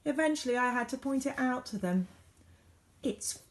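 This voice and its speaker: Expressive woman storyteller with lively pitch. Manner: dramatic, varied emphasis with deliberate pauses. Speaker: teacher reading a storybook aloud. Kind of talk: expressive children's storybook reading.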